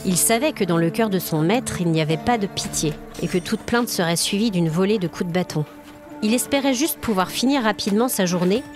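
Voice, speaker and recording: A woman storyteller narrating a scripted audio story in a dramatic, atmospheric manner. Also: mid-range voice. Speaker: storyteller, podcast host.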